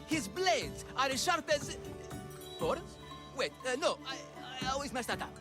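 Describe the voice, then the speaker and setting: A man's voice: extremely high pitch, sing-song, a little slow, exaggerated voice. An actor playing a flamboyant, scheming ancient Greek showman in a video game, grandiose and prone to flustered stammering, with music behind him. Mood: flustered, defensive. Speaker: carnival-style promoter. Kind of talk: theatrical video game acting.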